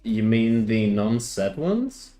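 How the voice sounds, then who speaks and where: Male game streamer from Greece, unhurried and halting, restarts his words a lot, soft music behind. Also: lowish voice, big pitch swings. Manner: hesitant, repeats words, answers chat. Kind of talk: casual live-stream chat.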